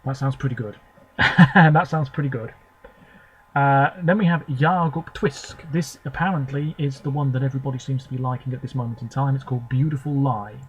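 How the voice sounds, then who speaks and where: A young man talking to camera from home about songs he has just heard, his voice sitting at an ordinary middle pitch. He gives short, hesitant verdicts between clips, with a faint noise under the recording. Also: a little fast, dull audio. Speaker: video host reviewing contest songs. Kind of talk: casual off-the-cuff commentary.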